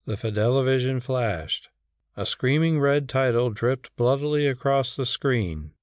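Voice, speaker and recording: A man's voice: medium pitch, lively intonation, a little slow, no room sound. Man, audiobook narrator, mid-range voice, dramatic scripted storytelling, dry sound.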